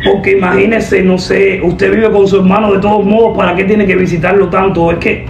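A young woman making a deadpan prank phone call, giving instructions in an official-sounding manner. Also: mid-range voice, brisk, vocal fry, reverberant, slight background noise. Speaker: prank caller, host. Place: indoor room at a table. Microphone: camera a short distance away.

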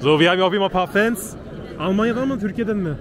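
A young man vlogging on a phone held at arm's length, chatty and excited, switching between German and Turkish while he interviews football fans. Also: high voice, rapid-fire, heavy background noise. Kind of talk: casual vlog with street interviews. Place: crowded football stadium and city streets at night. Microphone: phone camera at arm's length. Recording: loud crowd and music behind. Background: chanting crowd and loud music.

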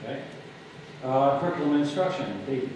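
A man chairing a public board meeting, brisk as he moves through the agenda. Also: medium pitch, expressive. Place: large public meeting room. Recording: echoey hall from far off, background noise.

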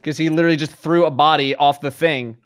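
A man's voice: fairly high pitch, fairly even intonation, fast talker, clean tone. A man chatting casually with friends as he plays a multiplayer game. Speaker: video game player.

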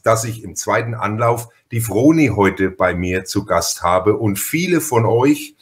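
A man's voice: fairly low pitch, lively intonation, a little slow. A man hosting a podcast interview in a casual, chatty and wordy way, often interrupting himself and repeating words.

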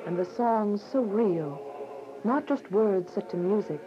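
An earnest, devout young woman , played by an actress, tells of her persecution in a radio drama. Her pitch is medium and her storytelling measured. The recording is dull and lo-fi, with music clearly behind her. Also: animated, natural voice. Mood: grateful, reflective. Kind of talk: first-person radio drama narration.

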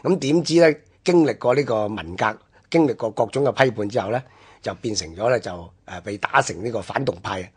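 A man with a Hong Kong Cantonese accent explaining history on a radio programme in a halting, discursive way. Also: fairly low pitch, slightly rough. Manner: halting, discursive, with drawn-out phrase-ending particles. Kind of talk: unscripted explanatory history talk.

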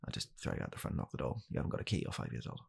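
A young British man in a hushed, halting, deadpan comedic ASMR roleplay, playing a bitter, weary father coldly blaming his child. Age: young adult. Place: small home room, indoors.

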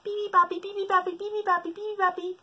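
A woman's voice: very high-pitched, level tone, a little fast, bright, forceful tone, voice pushed up. A woman voicing a cheerful, wide-awake baby bird with sing-song chirping in a children's storybook. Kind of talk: children's storybook read-aloud.

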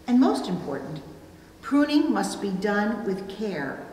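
A middle-aged woman delivering a scripted sermon in measured, careful phrases. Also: medium pitch, big pitch swings, a little mellow. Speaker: preacher. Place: church sanctuary at a wooden pulpit, indoors. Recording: very echoey hall, distant mic, faint noise.

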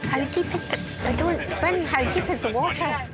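A British woman speaks bluntly and nervously while wading through water outdoors. Her voice is high, with loud music behind her. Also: moderate pace. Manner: anxious, blunt. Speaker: contestant. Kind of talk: unscripted on-location talk.

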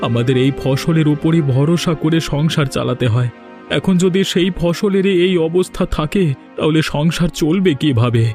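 Casting: man playing poor village farmer for a cartoon, highish plaintive voice, lamenting and despairing, music underneath. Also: normal speed, darkish tone, close to an everyday voice. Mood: weary, gloomy. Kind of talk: Bengali cartoon voice acting.

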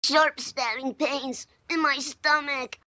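A woman reading a short example sentence in a smooth, even way for a language lesson. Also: high voice, takes it slowly.

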